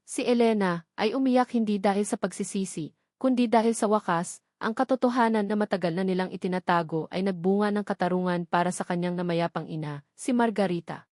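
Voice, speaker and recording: A woman's voice: medium pitch, a little fast, darkish tone, no room sound, studio quality. A woman reading a scripted dramatic story in an earnest, sentimental way. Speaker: narrator of a story channel.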